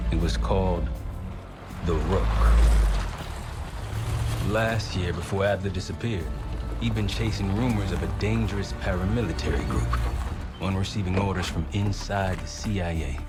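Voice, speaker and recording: An actor playing a weary CIA field operative, giving measured, reflective narration for a video game cutscene. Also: male voice, deep voice, lightly acted. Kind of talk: scripted cinematic narration. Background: loud music.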